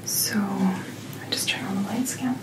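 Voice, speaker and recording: A woman speaking in a soft, breathy whisper, gently and reassuringly playing a doctor in an ASMR roleplay, close to the microphone. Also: medium pitch, steady tone, a little slow, poor audio. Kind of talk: soft-spoken ASMR medical checkup roleplay.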